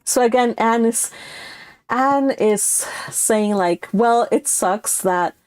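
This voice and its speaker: A woman hosting a book read-along, talking casually and haltingly, and reading aloud from a classic novel. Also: fairly high pitch, clean tone.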